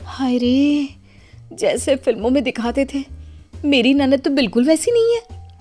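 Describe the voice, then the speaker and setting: Casting: woman performing eager, emotional young daughter-in-law for a family story, high and expressive. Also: a little slow, music in the background. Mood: awed delight.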